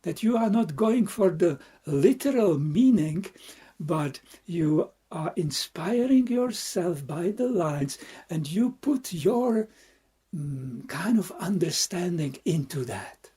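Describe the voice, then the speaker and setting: A Buddhist monk from the Czech Republic, speaking with a Czech accent in a reflective dharma talk, halting and searching for words with frequent soft laughs. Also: male voice, high-pitched, lively intonation, breathy edge.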